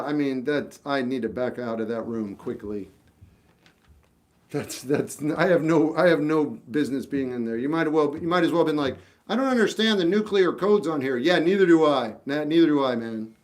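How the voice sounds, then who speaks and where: A man in his fifties chatting casually on a livestream, answering viewers with rambling, self-deprecating advice and lots of restarts. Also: mid-range voice. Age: 50s. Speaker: livestream host.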